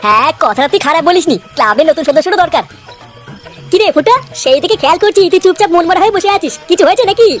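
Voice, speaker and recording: A man voicing a sympathetic, scheming streetwise friend in a comic cartoon, advising earnestly in colloquial speech with a pitched-up voice. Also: animated, quick pace, soft background music. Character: streetwise older pal, sympathetic scheming club leader.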